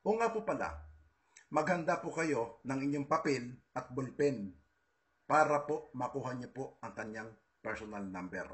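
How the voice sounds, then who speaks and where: A man's voice: medium pitch, animated, moderate pace, a bit bright. A man with a Filipino accent, giving an informal, combative monologue to online followers, stressing and repeating his points. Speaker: political video host.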